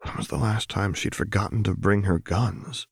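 Low-voiced man narrating a story. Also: fairly even intonation, moderate pace, creaky, husky, dry recording, studio quality. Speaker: audiobook narrator. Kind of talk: scripted dramatic story narration.

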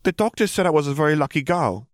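A man voicing an excitable, curious little schoolgirl with an eager, breathless manner. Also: on the high side, quick pace, no room sound, studio quality, voice pushed up, lightly acted. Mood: excited.

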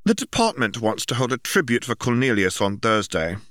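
A man's voice: medium pitch, very animated, moderate pace, fairly bright tone, no echo, clean studio sound, pitched above the performer's own voice. A man voicing an officious, earnest young bureaucrat, a diligent older brother who talks formally and insistently in an audiobook story.

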